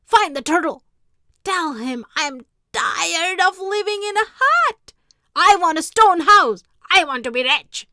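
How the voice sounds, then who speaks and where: Woman playing a shrill, greedy, scolding wife. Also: big pitch swings, a little slow, sharp tone, no echo, studio quality, exaggerated voice. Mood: furious, scolding. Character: furious, greedy, demanding fisherman's wife. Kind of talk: read-aloud storybook character voice.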